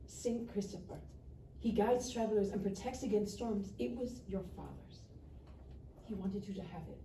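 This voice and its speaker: A very soft, dark-toned female voice in a roomy, distant recording. An actress plays a gentle, earnest working woman soothing her family in a live dramatic table read. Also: mid-range voice, normal speed, lightly acted. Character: gentle, reasoning immigrant relative, working waitress. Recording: roomy distant mic, faint noise.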